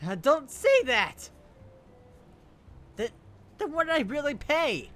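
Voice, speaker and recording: A man voicing a flustered, easily rattled teenage boy who stammers and turns shrill when upset, in a fan-dubbed radio drama. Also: very animated, slow pace, heavily acted. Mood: panicked. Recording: soft music behind.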